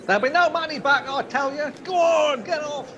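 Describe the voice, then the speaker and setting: An actor in a live radio play, playing a cheeky, persistent young undercover detective with a breezy, theatrical manner. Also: male voice, very high voice, moderate pace, highs cut off, crowd noise. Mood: insistent, cocky.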